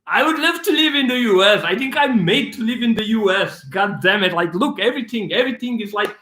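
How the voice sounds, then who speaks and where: A man's voice: high-pitched, animated, fairly quick, pushed and bright, reverberant. A young man from Romania with a Romanian accent, answering viewer questions in a rambling, casual live Q&A, full of restarts, laughs and swearing. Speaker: filmmaker hosting a live Q&A.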